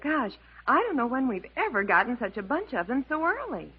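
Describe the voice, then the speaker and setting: Chatty cheerful housewife, lively n a bit husky, old narrow radio sound. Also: female voice, sits high, fairly quick, full character voice. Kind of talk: 1950s radio advert sketch acting. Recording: narrow-band, fuzzy 1950s radio recording.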